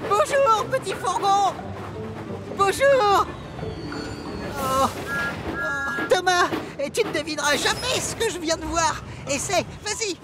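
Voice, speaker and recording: Woman as naive boy engine, cartoon, excitable, extremely high voice, loud music. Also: slow, long pauses, crowd noise, exaggerated voice. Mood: excited. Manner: excitable, chirpy. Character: eager, naive young male diesel boxcab engine. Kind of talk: children's cartoon dub. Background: loud music behind the voice.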